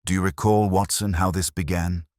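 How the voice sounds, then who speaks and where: A man voicing a reflective, weary detective in an audiobook, measured and eloquent, with wry philosophical speeches. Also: deep voice, steady tone, a little mellow, dry recording, studio quality, close to an everyday voice. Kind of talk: audiobook character dialogue.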